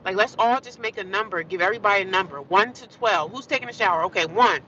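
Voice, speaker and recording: A woman talks into her phone from behind the wheel, blunt and rambling, looping back over the same points as she vents to her viewers. Her voice is bright and tense, and the sound is muffled. A faint hum of road noise runs underneath. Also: mid-range voice, fast talker. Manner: blunt, rambling, talkative and repetitive. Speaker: livestreaming vlogger. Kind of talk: casual venting livestream. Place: in a car while driving.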